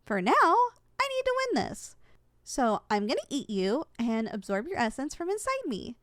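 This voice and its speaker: A woman's voice: high-pitched, wildly swooping pitch, normal speed, fairly bright tone, no room sound, studio quality, full character voice. A woman voicing a young slime succubus in training, affectionate and competitive, in a sweet, coaxing ASMR roleplay style that is easily flustered.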